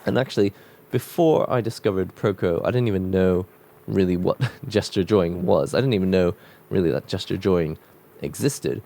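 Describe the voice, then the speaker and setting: A man with a cold-croaked voice talking casually and reflectively while drawing, musing with loose restarts. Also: low-pitched, moderate pace, dark tone. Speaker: art vlogger drawing on camera.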